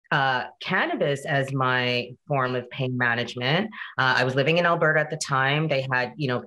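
A woman in her forties from Canada, talking casually on a video call, animated and chatty, often checking in with "right?" and "you know". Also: extremely low pitch. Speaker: guest presenter. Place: home room.

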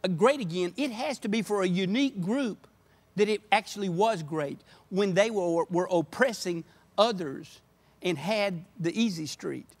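Older man, high-pitched, passionate and emphatic, guest in a church podcast chat. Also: normal speed. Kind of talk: earnest conversation. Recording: podcast audio.

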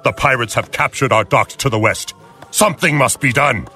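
An actor playing a brawny, loyal Chinese seaman, gruff and wry, bluntly delivered in a game cutscene. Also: medium pitch, moderate pace, lightly acted. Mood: wry, warning. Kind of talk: animated game cutscene acting. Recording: game audio with loud music behind.

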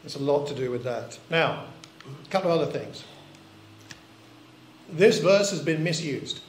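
Earnest older preacher in echoey hall. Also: male voice, on the high side, animated, unhurried, slight background noise. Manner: earnest and reflective, with rhetorical questions. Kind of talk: sermon. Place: church hall. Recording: echoey church recording.

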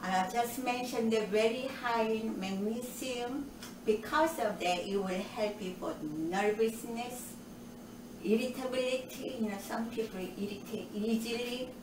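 Slow older woman hosting a kitchen show. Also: fairly high pitch, noisy background. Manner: cheerful, explains step by step with nutrition asides. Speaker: cooking show host. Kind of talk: home cooking demonstration. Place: home kitchen indoors. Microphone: far camera. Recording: roomy kitchen sound from a distance.

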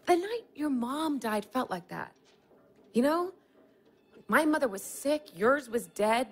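Radio play: young American woman, wistful, candid, playful; high, very lively pitch. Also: slightly rough, full character voice. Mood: wistful, exhilarated. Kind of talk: naturalistic audio play acting.

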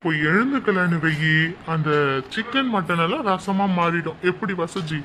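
A woman voicing an easygoing middle-aged father and uncle who gently scolds the children in a family comedy skit. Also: fairly low pitch, natural voice. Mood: teasing. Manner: indulgent, quick-talking, mildly scolding. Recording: loud background noise.

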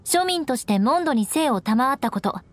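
A woman's voice: high-pitched, expressive, normal speed. An actress playing a proud, cool young noblewoman in an anime game, composed and instructive with a haughty edge. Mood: grandly formal.

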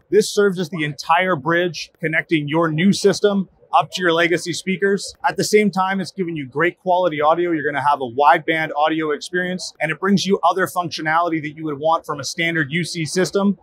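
A man's voice: on the high side, a bit bright. A man in his thirties, a company representative, fluently explaining products in an interview at a trade show booth. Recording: faint trade show hall noise. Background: convention hall ambience.

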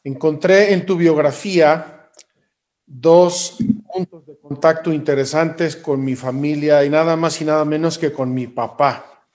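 Male priest host, slow and measured, courteous, fairly high voice. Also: fairly even intonation, darkish tone. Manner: courteous and measured, with polite thanks. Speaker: priest and interviewer. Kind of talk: slow, formal interview hosting.

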